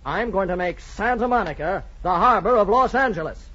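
Husky, high-pitched railroad tycoon. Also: male voice, lively intonation, brisk, pushed and bright, full character voice. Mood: resolute. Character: determined, hard-driving railroad magnate laying out plans. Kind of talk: 1940s radio acting. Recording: muffled 1940s radio recording.

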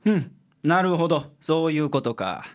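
An actor playing a gruff, blunt detective boss with a Kansai accent in a radio drama. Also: male voice, fairly high pitch, animated, normal speed, close to an everyday voice. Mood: brusque, impatient. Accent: Japanese, Kansai. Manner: brusque, grumbling.

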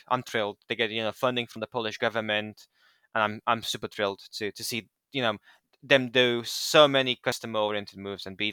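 Bright, crisp-voiced man from Romania. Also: on the low side, dry recording. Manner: enthusiastic, repeats words. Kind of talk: casual chat.

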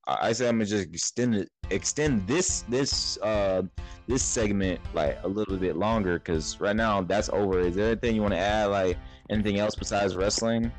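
A man's voice: fairly low pitch, moderate pace, soft background music, poor audio. A young man hosting a podcast, chatting casually and rambling, often restarting his sentences. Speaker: podcast host. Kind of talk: casual chat.

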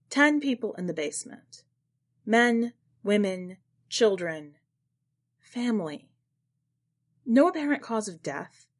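A woman's voice: fairly high pitch, darkish tone. Woman reading literary fiction aloud. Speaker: podcast fiction reader and editor. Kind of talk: first-person literary short story narration.

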